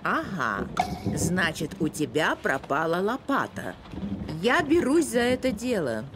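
A woman's voice: on the low side, big pitch swings, a little slow, noisy background. A woman playing a self-important, suspicious amateur detective and writer in a cartoon, pompous and theatrical as she interrogates. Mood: brisk, suspicious. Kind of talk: animated cartoon acting with narration. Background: music.

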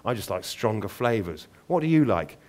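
A man voicing a huge raven with a loud, throaty voice and a London accent, cheerfully morbid. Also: fairly low pitch, big pitch swings, normal speed. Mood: gleeful.

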